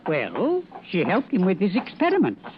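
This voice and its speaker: An old rustic servant in a vintage radio play. He is deferential and halting, his voice swinging widely in pitch on a dull, hissy recording. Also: wildly swooping pitch. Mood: wary, respectful. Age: older. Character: loyal old blind countryman, garrulous former servant. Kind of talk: 1940s radio drama acting.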